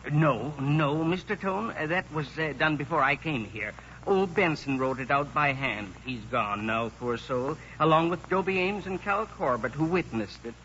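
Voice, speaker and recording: A rambling old small-town lawyer in a vintage radio play. His voice is fairly high and sharp, hesitant and nostalgic, on a dull, hissy recording. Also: lively intonation, normal speed. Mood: reminiscing. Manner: hesitant, meandering. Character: elderly country lawyer, kindly, rambling, nostalgic. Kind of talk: 1940s radio acting.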